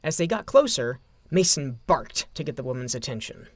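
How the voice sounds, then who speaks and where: A man narrating a scripted story in a dramatic, expressive storytelling manner. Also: medium pitch, moderate pace, no echo.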